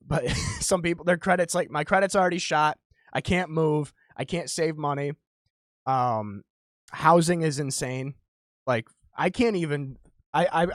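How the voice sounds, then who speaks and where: A man's voice: fairly high pitch, dry recording. Man in his thirties hosts a podcast; frank and wandering, dry close sound. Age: 30s. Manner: rambling, candid, self-deprecating. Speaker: podcast host. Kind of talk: casual podcast chat.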